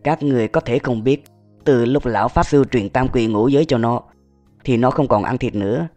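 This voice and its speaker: Man acting friendly monk, mid voice, animated film. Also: medium pitch, moderate pace, faint music behind, lightly acted. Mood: kindly.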